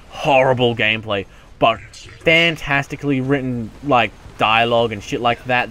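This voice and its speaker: A man's voice: mid-range voice, bright, forceful tone. A man giving casual let's play commentary as a gaming YouTuber, rambling and self-mocking, often muttering to himself.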